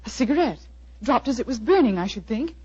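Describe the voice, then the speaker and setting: Husky, plucky young sidekick. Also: female voice, fairly high pitch, animated, brisk, dark tone, dry recording. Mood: curious, alert. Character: plucky young American woman assisting a detective. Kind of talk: 1940s radio drama acting. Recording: muffled vintage 1940s radio broadcast.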